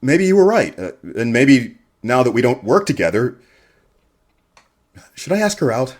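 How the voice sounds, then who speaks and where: A man plays a modest, earnest radio host who is quietly smitten, in a radio comedy. The voice is husky, with lively intonation. He speaks in a hesitant, halting, understated way. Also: medium pitch. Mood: smitten, hopeful. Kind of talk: radio comedy-drama acting.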